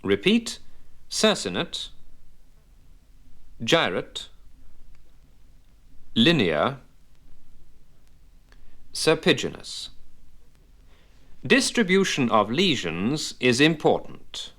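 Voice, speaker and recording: A man delivers a scripted lesson in the role of a language instructor. His pace is very slow and deliberate, and his pitch moves in a lively way from phrase to phrase. He enunciates didactically, setting each term apart for the learner. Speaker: medical English instructor. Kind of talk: scripted vocabulary lesson narration with repeat-after-me prompts.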